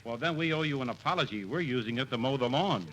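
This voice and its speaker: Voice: mid-range voice, expressive, fast, natural voice. An actor playing a courteous but unbudging hotel manager in a 1950s radio comedy. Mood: courteous, dry. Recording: noisy old radio recording.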